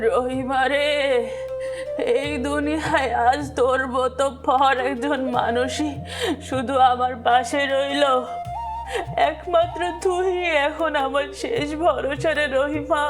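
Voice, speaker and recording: Woman acting a plaintive old village mother, high-pitched. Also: fairly even intonation, takes it slowly. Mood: tearful. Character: frail, neglected old village mother, loving and sorrowful. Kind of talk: melodramatic cartoon voice acting. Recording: loud background music.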